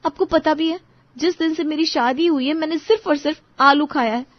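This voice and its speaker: Woman acting a high-voiced, expressive young daughter-in-law. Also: brisk, no echo, muffled recording, lightly acted. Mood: exasperated complaint. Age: young adult. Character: young daughter-in-law, polite but exasperated and scheming. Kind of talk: dramatized story dialogue.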